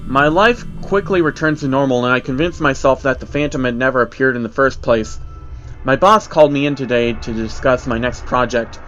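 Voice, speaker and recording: A man's voice: mid-range voice, a little fast, fairly bright tone, muffled recording. A man narrating a scripted first-person horror story with a dramatic storytelling delivery. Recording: music under the voice. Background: music.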